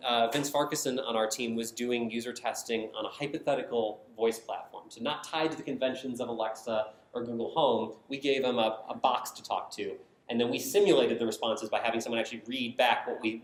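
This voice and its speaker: An American man giving a prepared conference presentation, explaining his points emphatically in short, punchy statements. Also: medium pitch. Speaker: conference speaker, design team member. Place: indoor conference session room. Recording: roomy echo of a presentation space.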